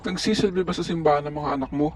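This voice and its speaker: A man's voice: on the high side, very fast, slight background noise, natural voice. A man playing a bullying colonial civil guard in a radio play, barking and accusatory. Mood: barking, demanding. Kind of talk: radio play acting in Filipino.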